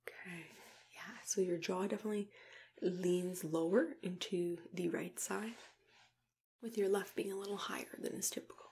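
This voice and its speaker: A young woman speaks in hushed, breathy whispers and very soft murmurs, with clear background noise around her. She plays a dentist in an ASMR roleplay, gentle and reassuring, taking her time between phrases. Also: fairly low pitch, fairly even intonation, normal speed. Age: young adult. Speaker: ASMR creator playing a dentist.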